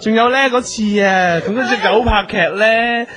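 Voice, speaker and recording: A man's voice: sits high, fairly even intonation, moderate pace, a bit bright. A man playing a doting, flustered showbiz manager in a Hong Kong Cantonese radio drama, coaxing and pleading as he talks. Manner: coaxing, pleading, repeats words. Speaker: voice actor. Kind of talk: festive radio drama acting. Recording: music under the voice.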